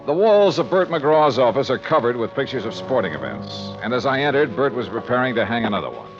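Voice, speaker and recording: A man's voice: mid-range voice, sing-song, a little fast. An actor playing a dry, worldly male insurance investigator in 1950s radio drama, narrating and questioning in a clipped, wry way. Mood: brisk, businesslike. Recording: dull vintage radio recording, music behind.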